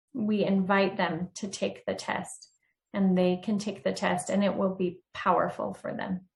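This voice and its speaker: A woman as an interview guest, explaining steps in an instructive, encouraging way. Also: medium pitch. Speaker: guest trainer. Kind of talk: interview answers.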